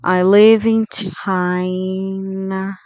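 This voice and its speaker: A Brazilian woman in her thirties runs a grammar lesson, unhurried and patient in her delivery. Her voice comes through smooth and clean, recorded close and dry with no room sound. She keeps asking the class if they're with her. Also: mid-range voice, little pitch movement, a little mellow, no room sound, muffled recording. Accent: Brazilian Portuguese. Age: 30s. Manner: didactic, checks in with listeners often; slows down to model English phrases. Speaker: English teacher.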